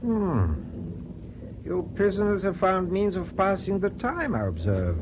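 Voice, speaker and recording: High-pitched, imperious German sea captain. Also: male voice, big pitch swings, a little slow. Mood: coldly courteous. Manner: formal, clipped, commanding. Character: stern, imperious German captain of a prison ship. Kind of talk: radio drama acting. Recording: noisy, dull radio drama recording.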